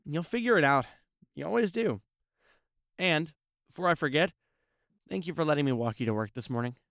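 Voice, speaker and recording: A man's voice: a little high, lively intonation, fairly quick, vocal fry, a bit bright, no room sound, clean studio sound. An actor playing a flirtatious, playful male news reporter in an audio drama, with a breezy, teasing manner. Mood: playful, supportive.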